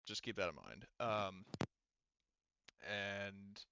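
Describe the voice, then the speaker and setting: A man with a bright, forceful and smooth voice runs a tabletop game. He explains things casually and wanders a little as he goes. The audio is close and dry, slightly muffled like voice chat. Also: fairly low pitch, animated, drawn-out words, no echo. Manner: rambling, explanatory, casual swearing. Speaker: game master.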